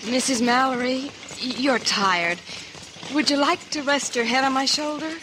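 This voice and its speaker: A woman's voice: high-pitched, normal speed, lightly acted. An actress playing a wistful, self-conscious outcast frontier woman, hesitant and pleading, in a radio western. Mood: gentle. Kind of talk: old-time radio western acting. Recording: noisy old radio recording.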